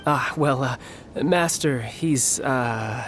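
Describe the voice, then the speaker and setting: A man's voice: medium pitch, slow pace, heavy background noise, close to an everyday voice. Man playing a courteous, deferential merchant's attendant. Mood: hesitant. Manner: polite, formal, deferential. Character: deferential male servant of a young merchant master. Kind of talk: video game voice acting. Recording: music playing behind the voice.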